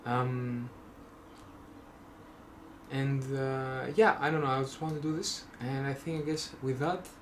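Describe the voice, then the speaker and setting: A man's voice: mid-range voice, steady tone, a little slow, pauses often, slight background noise. A young man from Greece with a Greek accent, rambling casually in a vlog, full of fillers and self-restarts with wry jokes. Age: young adult. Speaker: vlogger.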